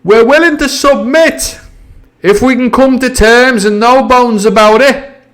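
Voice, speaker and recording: A man voicing a scheming one-legged pirate leader who talks loudly with false cheer. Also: very high-pitched, unhurried, voice pushed up. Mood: bargaining. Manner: loud, hearty and wheedling.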